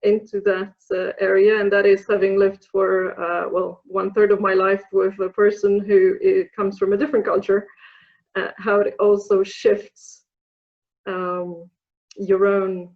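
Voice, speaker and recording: A woman's voice: medium pitch, little pitch movement, unhurried, narrow, tinny audio. A woman from Poland with a Polish accent, in her thirties, answering interview questions thoughtfully, with many fillers and restarts. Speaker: interview guest, program alumna.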